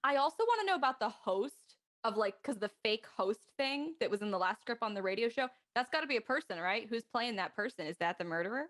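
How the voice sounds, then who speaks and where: A woman's voice: fairly high pitch, expressive, fast talker, few pauses, a bit bright, no echo, studio quality. A woman talking casually in a group panel chat.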